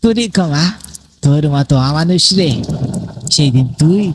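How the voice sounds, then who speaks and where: A man's voice: a little high, lively intonation, measured pace, noisy background. A man voicing the vengeful, accusing ghost of a murdered villager in a horror cartoon. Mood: furious, accusing. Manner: menacing, accusing, taunting. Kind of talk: dramatic horror cartoon acting.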